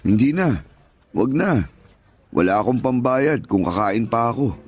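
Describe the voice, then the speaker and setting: A man's voice: medium pitch, expressive, measured pace, breathy edge, faint music behind. A man playing a humble, weary older street peddler in a radio drama, plain-spoken and grateful. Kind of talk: Tagalog radio drama acting.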